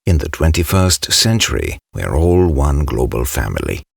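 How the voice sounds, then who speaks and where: A man reads scripted narration in an extremely deep voice. It is gravelly and husky, recorded dry and close. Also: sing-song, moderate pace, no room sound.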